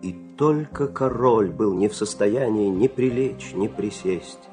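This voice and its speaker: Expressive male fairy-tale narrator. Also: on the low side, a little slow. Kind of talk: scripted fairy-tale narration, dramatic storytelling. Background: music.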